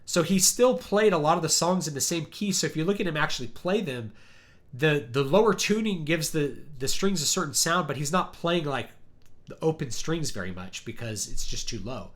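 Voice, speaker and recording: A man hosting a casual chat, talking in a rambling, animated way and acting out quotes, his deep voice worn because he is sick. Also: moderate pace. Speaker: livestream host. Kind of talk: casual chat about music.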